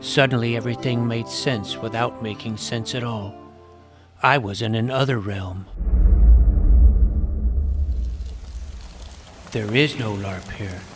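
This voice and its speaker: A man's voice: on the low side, steady tone, normal speed, close to an everyday voice. A man playing a naive, self-doubting, geeky boy who narrates his fantasy adventure in a wry, youthful, earnest way. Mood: realization. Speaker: narrator and lead character. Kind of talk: first-person scripted fantasy narration and dialogue. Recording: music behind the voice.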